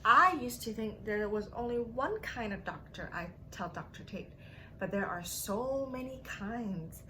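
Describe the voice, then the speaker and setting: High, lilting woman hosting children's story time. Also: animated, pushed and bright, slight background noise, poor audio. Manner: simple, drawn-out phrasing addressed to children. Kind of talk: read-aloud narration and playful teaching to young children.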